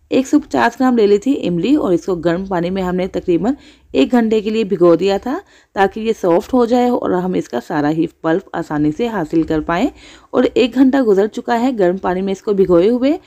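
A woman's voice: mid-range voice, animated, moderate pace, mellow. A woman hosting a cooking channel, narrating a recipe step by step as a voice-over.

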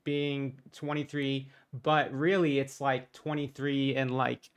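A man on a voice call debate, explaining his view calmly through examples. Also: mid-range voice, slow. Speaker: debate caller. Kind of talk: casual debate. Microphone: voice call mic.

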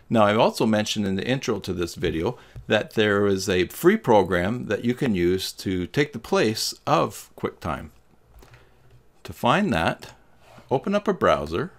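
Middle-aged man with lively, gravelly delivery. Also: on the low side. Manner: plain, instructional. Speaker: tutorial host. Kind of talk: step-by-step software tutorial. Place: small indoor room with green screen.

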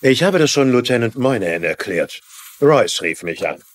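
A man's voice: mid-range voice, very animated, normal speed, slight background noise, natural voice. A man playing a curt, dismissive business tycoon in a dubbed TV crime drama, formal and brusque.

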